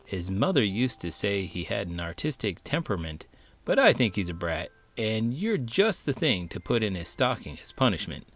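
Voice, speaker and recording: A man's voice: on the low side, sing-song, normal speed, a bit bright, exaggerated voice. A man voicing a blunt, exasperated drugstore Santa in a children's storybook. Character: grumpy drugstore Santa, overweight man in costume. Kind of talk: children's storybook read-aloud.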